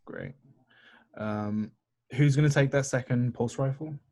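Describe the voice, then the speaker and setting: A man's voice: medium pitch, a little slow, vocal fry, a little mellow. A man talking casually as a player in a tabletop roleplaying game.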